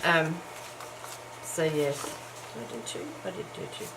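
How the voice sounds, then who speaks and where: A middle-aged woman chatting casually while she crafts at home early in the morning, her voice croaky from just waking up, with lots of ums and small laughs. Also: low-pitched, unhurried. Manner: chatty and self-deprecating, with frequent ums and little laughs. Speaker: crafting vlogger. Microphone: camera's built-in mic. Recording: noisy home camera recording.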